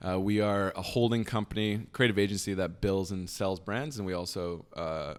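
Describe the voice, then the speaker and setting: Young dude, lowish voice, lots of like and uh, kinda trails off. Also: male voice, steady tone, moderate pace, clean tone. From Canada. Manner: rambling, filler-heavy, trails off quietly. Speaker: podcast guest, business founder. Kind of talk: casual conversation.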